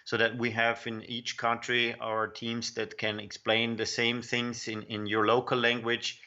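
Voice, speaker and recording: A man's voice: medium pitch, completely flat delivery, a little slow, pushed and bright. A man from Austria whose native language is German, speaking earnestly on a livestream with slight stumbles. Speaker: team member on a livestream. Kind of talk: casual livestream talk. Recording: dull lo-fi audio.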